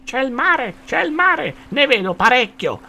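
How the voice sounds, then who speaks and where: A man voicing an excitable, impatient, silly parrot in a children's story, squawking out shrieked exclamations. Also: measured pace, faint music behind, full character voice. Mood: excited. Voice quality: squawking, shrill, creaky. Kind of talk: rhymed children's story character.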